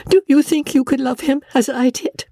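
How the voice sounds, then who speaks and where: A woman reading aloud as a hurt young wife who accuses her false friend, speaking in a breathy, trembling voice. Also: fairly low pitch, animated, slow pace, a little mellow, dry recording, studio quality, pitched above the performer's own voice, heavily acted. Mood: reproachful.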